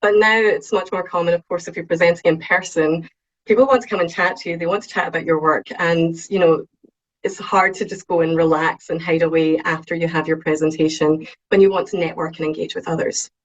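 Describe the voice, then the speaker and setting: Scottish woman presenter, lower voice, eager and chatty, dull lo-fi call audio. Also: fairly quick. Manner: lively, excitable, many ums. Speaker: invited speaker. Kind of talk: webinar talk on presenting.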